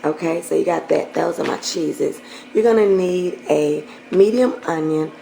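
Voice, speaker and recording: A hoarse middle-aged woman chatting warmly to viewers while cooking in her home kitchen, often clearing her throat. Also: fairly low pitch, unhurried, a little hiss or hum, low-quality recording. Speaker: cooking channel host. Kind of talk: casual cooking vlog chat.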